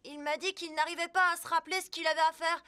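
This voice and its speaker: A woman's voice: very high-pitched, fairly even intonation, quick pace, bright, forceful tone. A woman voicing a caring, cheerful young female rescue vehicle in a French children's cartoon dub.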